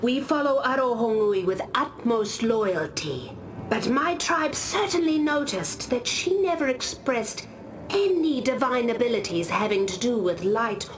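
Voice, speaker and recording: Game warrior: discreet, grave woman, deliberate slow delivery, slightly high pitch, loud music beneath. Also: poor audio. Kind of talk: video game character dialogue.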